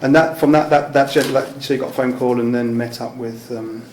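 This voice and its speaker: A man's voice: mid-range voice, steady tone, fairly quick, a little mellow. A man conducting a casual interview with tentative, loosely phrased questions and an agreeable manner. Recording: echoey room with background noise.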